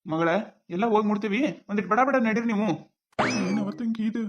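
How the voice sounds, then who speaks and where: A man's voice: sits high, steady tone, moderate pace, noisy background. A man with a North Karnataka accent voicing village characters in a cartoon comedy, in rustic dialect. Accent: North Karnataka Kannada. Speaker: cartoon voice artist. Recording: dull lo-fi sound.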